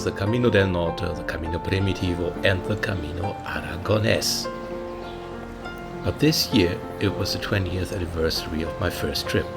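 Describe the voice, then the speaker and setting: A man in his fifties with a German accent, narrating his travel vlog in a chatty way, often pausing with uh and um. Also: low voice. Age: 50s. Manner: chatty, pausing with many uh and um fillers. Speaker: travel vlogger. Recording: voice with music playing underneath.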